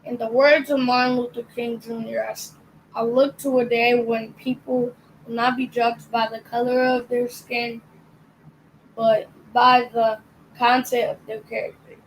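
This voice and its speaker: Slow, careful young reader. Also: female voice, fairly high pitch, level tone, a little hiss or hum, low-quality recording. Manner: careful reading, occasional stumbles on words. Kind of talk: slow read-aloud.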